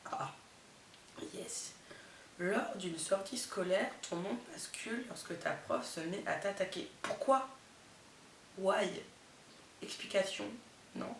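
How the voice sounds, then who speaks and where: A woman's voice: medium pitch, gravelly, a bit bright. Young woman, head cold, nasal, chatty vlogger; distant mic, echoey room. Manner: chatty, lots of fillers and amused asides. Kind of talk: casual chatty vlog, reading out summaries with reactions. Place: indoors, not her bedroom. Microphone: camera mic. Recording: roomy, distant camera mic with faint hiss.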